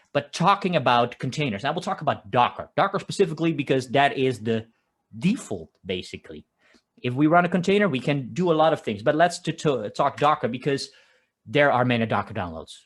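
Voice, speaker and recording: A man from the Netherlands with a Dutch accent, giving a conversational technical conference talk and often restarting his phrases. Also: mid-range voice. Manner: conversational, with frequent restarts and filler "uh". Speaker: conference speaker. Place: home office, construction noise outside.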